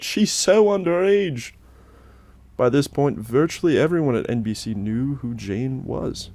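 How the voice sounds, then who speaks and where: A man's voice: mid-range voice, dark tone, no room sound. A man narrating a scripted, satirical story in a solemn, dramatic way with emphatic phrasing. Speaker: host and narrator of a Halloween special.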